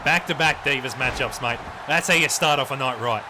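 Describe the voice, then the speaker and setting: A man's voice: mid-range voice, brisk, background noise, natural voice. A man playing a crude, swearing Australian wrestling commentator who rambles in a blokey way over a video game match. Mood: crude banter.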